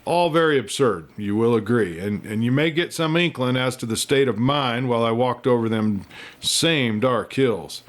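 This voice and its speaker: A man's voice: mid-range voice, normal speed, natural voice. Pompous, self-mocking young scholar played by a man. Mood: haughty, musing. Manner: theatrical, bookish phrasing with folksy slips. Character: young male scholar, pompous yet self-mocking, newly exuberant, writing home. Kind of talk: dramatic first-person storybook reading.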